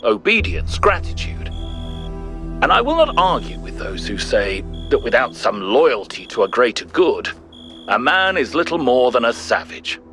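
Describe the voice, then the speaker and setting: An actor playing a thoughtful, principled ancient Greek philosopher in a video game, giving a grave, measured, rhetorical monologue. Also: male voice, mid-range voice, sing-song, fairly bright tone. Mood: questioning, pointed. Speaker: narrator of philosophical audio logs. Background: music.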